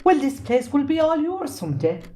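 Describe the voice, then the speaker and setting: Woman playing a lively-pitched Irish farmer's wife. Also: moderate pace. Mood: coaxing, worried. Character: middle-aged farmer's wife, questioning mother. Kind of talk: amateur stage drama acting.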